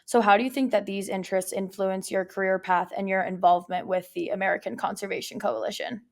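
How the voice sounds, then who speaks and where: A woman's voice: medium pitch, steady tone, fast, creaky. A young woman hosting a podcast, reading an upbeat intro and asking questions. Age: young adult. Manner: upbeat, partly scripted intro. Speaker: podcast host.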